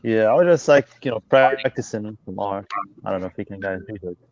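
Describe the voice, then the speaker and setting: A man from Syria chatting on a video call, halting with loose phrasing. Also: lowish voice. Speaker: guest. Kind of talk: casual video-call chat. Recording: dry lo-fi call audio.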